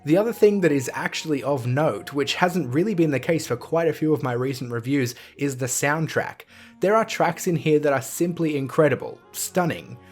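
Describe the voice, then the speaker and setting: A man's voice: on the high side, normal speed. A man narrating a scripted video game review as the reviewer. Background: music.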